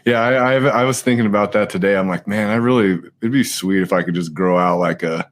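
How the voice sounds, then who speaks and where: A man's voice: lowish voice, brisk. A man in his late thirties hosting a podcast, chatting casually, laughing often and swearing offhandedly. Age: late 30s. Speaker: podcast host. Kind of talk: casual chat. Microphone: live stream podcast mic.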